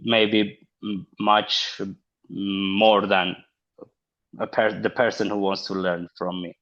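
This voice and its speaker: A man's voice: on the low side, highs cut off. A man in his thirties with an Armenian accent, a linguist answering interview questions in a hesitant, thoughtful way with many fillers. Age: 30s. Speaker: linguist guest. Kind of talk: casual interview over a call.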